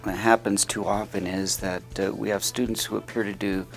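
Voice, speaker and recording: A man's voice: on the low side, little pitch movement, normal speed, creaky, soft background music. A man interviewing a former student, asking long questions with many hesitant fillers.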